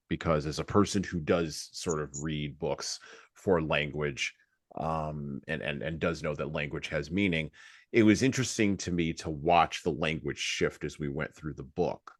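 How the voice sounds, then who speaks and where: A man hosting a podcast, talking reflectively about books in a halting, deliberate way with emphasis on key words. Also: rumbling low, dry recording, clean studio sound. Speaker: podcast host. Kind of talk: reflective book discussion.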